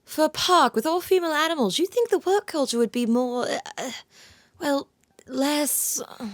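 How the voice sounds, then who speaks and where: A woman playing a prim, earnest theme park professional in an audio drama, speaking in a measured, reserved way. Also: high voice, no room sound, clean studio sound, natural voice. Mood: disapproving.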